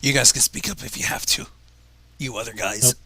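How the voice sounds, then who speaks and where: A man's voice: mid-range voice, fairly even intonation, normal speed, sharp tone, husky. A man hosting a reading of fanfiction, breaking off for sarcastic, profane asides. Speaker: fanfiction reader and livestream host. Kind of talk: dramatic reading with mocking asides.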